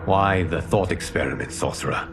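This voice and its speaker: An actor playing a cautious, commanding and grave war leader in a cinematic video game. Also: male voice, deep voice, moderate pace, background noise. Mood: skeptical.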